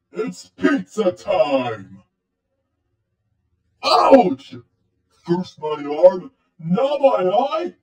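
A man's voice: high-pitched, darkish tone, echoey room, lo-fi sound, full character voice. A man voicing a cheerful animatronic bear showman for kids in a fan-made cartoon, with an exclamatory, theatrical delivery. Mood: pained. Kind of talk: fan voice acting for animated movie.

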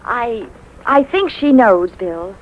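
A woman playing a gentle, wistful young mother in a 1950s radio drama, speaking sincerely. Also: a little high, expressive, slow, background noise. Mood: worried, wistful. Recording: vintage 1950s radio recording.

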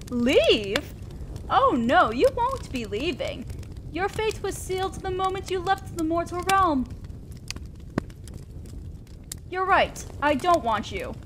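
A woman playing a haughty, teasing female demon lord in a roleplay audio drama, with a drawn-out, condescending, theatrical delivery. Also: sits high. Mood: disdainful. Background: crackling fire.

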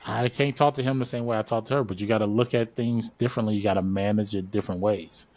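A man's voice: fairly low pitch, fairly even intonation, fast talker, a little mellow, dry recording. A man in his thirties, a podcast guest on a video call, explaining his views at length in a casual, thoughtful way. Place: home studio, indoors. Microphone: large studio mic on video call.

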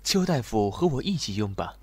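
A man's voice: medium pitch, big pitch swings, normal speed, husky, no room sound. A man playing a weary, reserved young general in an ancient Chinese audio drama, speaking formally and courteously. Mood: courteous, composed.

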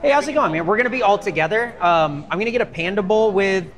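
Easygoing young man with high voice. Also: animated, quick pace, a bit bright. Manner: easygoing, a bit hesitant with fillers. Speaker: show regular. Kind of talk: casual chat while ordering food.

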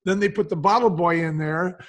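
A man's voice: sits high, fairly quick. A man sharing anecdotes in a conversational way as an interview guest. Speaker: podcast guest, entrepreneur.

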